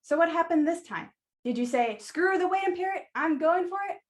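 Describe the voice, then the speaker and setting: A woman playing a sassy, blunt, no-nonsense flower shop keeper in a radio play, brisk, wry and teasing in manner. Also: extremely high pitch, expressive. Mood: knowing, teasing.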